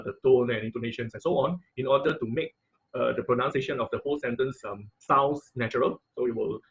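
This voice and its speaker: A man with a medium-pitched voice teaches an informal online technical class. He explains step by step in a halting way, often repeating himself. His English phrasing is non-native. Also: a bit bright, low-quality recording. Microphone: online video call.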